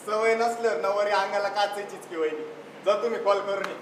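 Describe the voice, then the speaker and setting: A man's voice: high-pitched, fairly quick, natural voice. A man playing an earnest, worried father and village schoolteacher in a stage play. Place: theatre stage at a youth festival. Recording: distant echoey stage, noisy hall.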